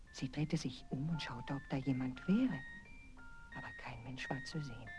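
A woman tells a children's fairy tale in a deep female register. Her delivery is measured and dramatic, with varied emphasis, and music plays clearly behind the voice. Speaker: narrator.

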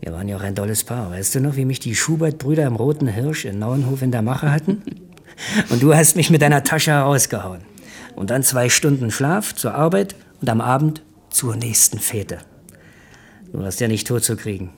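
An actor playing a blunt, nostalgic working-class ex-husband in a naturalistic radio play, talking in a colloquial, needling, familiar way. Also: male voice, mid-range voice, lively intonation, normal speed, close to an everyday voice. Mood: nostalgic, rueful.

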